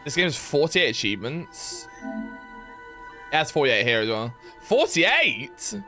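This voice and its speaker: A young man casually chatting while streaming a game, rambling with lots of fillers and excited yelps. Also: a little high, a little slow, faint music behind. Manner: rambling, filler-heavy, reads chat aloud, yelps with excitement. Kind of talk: casual live-stream gameplay chat.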